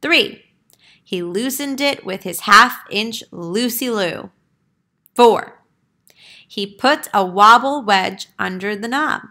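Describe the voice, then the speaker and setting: A woman reading a picture book aloud to young children in a deliberate, emphatic teacher's manner. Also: medium pitch, expressive, pushed and bright.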